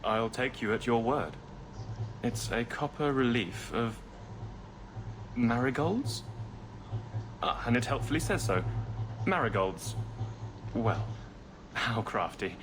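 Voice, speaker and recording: Mild-mannered guardsman, mid-pitched. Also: expressive, takes it slowly, long pauses. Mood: friendly, puzzled. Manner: mild, good-natured, plain-spoken. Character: easygoing, polite male city guardsman. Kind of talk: fantasy video game acting. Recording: game audio under clear noise.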